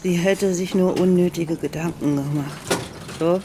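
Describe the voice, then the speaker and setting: Actress as a blunt, bossy, combative sister. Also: female voice, lowish voice, steady tone, measured pace, background noise, lightly acted. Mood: weary, bossy. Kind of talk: radio drama dialogue.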